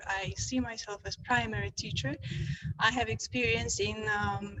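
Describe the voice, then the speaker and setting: Bright-voiced middle-aged woman presenting online. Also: on the high side, a little hiss or hum, rough recording. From Poland. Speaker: presenter, play therapist and education consultant.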